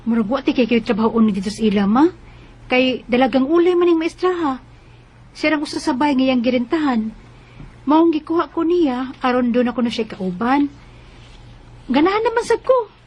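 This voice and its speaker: Earnest teenage student, high husky voice. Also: female voice, lively intonation, normal speed, long pauses, darkish tone. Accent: Cebuano Filipino. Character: earnest, eager nineteen-year-old working student girl. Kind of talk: Filipino radio drama acting and narration.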